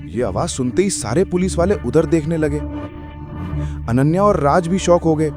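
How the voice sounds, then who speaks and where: A man's voice: a little high, lively intonation, normal speed, music in the background. A man narrating a scripted audio story in a dramatic, suspenseful way. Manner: suspenseful, theatrical.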